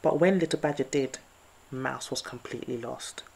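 A woman storyteller reading a children's picture book aloud in an expressive, scripted bedtime-story style. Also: very deep voice, normal speed, creaky, a little hiss or hum.

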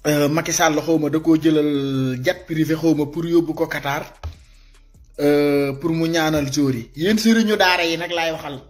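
A man in his thirties with a Senegalese accent giving impassioned, informal video commentary, animated and repeating his points as he exhorts listeners. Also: on the high side. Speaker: social media commentator. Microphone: phone at arm's length.

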